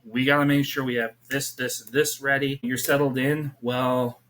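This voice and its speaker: A man's voice: medium pitch, flat delivery, moderate pace, a bit bright, a little hiss or hum. A man in his thirties, a youth pastor, talking earnestly as a podcast guest and rambling with many restarts. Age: 30s. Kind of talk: casual faith conversation.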